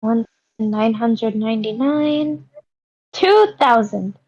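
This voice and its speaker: A woman's voice: on the high side, lively intonation, takes it slowly, darkish tone. A woman playing a timid, reluctant female trainee with a hesitant manner in a screenplay table read. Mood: counting, strained. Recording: dull lo-fi online meeting audio.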